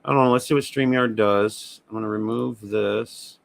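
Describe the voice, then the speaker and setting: Gravelly-voiced man hosting a podcast. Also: fairly low pitch, fairly even intonation, normal speed. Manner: loose and profane, thinking aloud. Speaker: podcast host. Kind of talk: casual livestream podcast chat. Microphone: remote stream setup.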